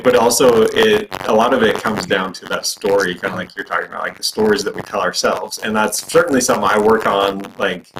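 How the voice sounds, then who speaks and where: A man hosting an interview, asking rambling friendly questions full of "like" and "you know". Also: fairly low pitch. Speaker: podcast host. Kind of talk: casual interview. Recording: echoey room with background noise.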